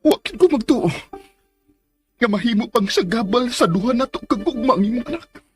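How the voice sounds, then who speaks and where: An ardent, high-pitched male lover pleads with his lost love in a Cebuano Filipino accent. The delivery is insistent, melodramatic radio romance, with music running underneath. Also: animated, brisk. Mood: wounded devotion. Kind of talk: Philippine radio romance drama acting.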